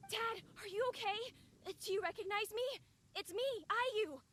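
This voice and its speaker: An actress voicing an earnest, devoted, worried young son in a video game, speaking politely. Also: female voice, very high voice, normal speed, a bit bright, slight background noise. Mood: anxious, gentle.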